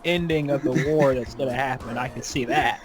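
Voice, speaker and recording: A man's voice: mid-range voice, brisk, loud music behind the voice. A man chatting casually on a fan podcast, opinionated and given to ranting. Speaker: podcast host.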